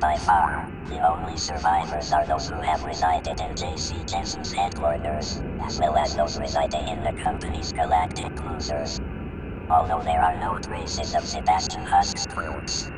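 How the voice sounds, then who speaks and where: A man's voice: a bass voice, monotone, normal speed. A man playing a stern, impersonal corporate officer, reading a formal incident report in a science fiction audio drama. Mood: grave, detached. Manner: formal, detached. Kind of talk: scripted report narration. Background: loud music.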